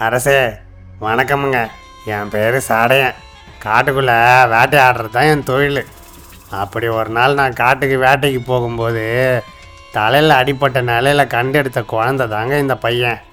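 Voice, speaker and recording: A man playing a humble village hunter and adoptive father in an animated folktale, deferential, with a rural Tamil accent. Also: mid-range voice, moderate pace, close to an everyday voice. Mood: humble, earnest. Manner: deferential, colloquial village speech. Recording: music under the voice.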